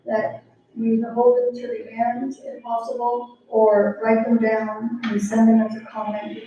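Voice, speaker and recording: A woman planning consultant presents to a city commission, hesitant and full of fillers and restarts. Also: on the high side. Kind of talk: public meeting presentation. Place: council chamber. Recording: echoey chamber, distant mic, dull sound.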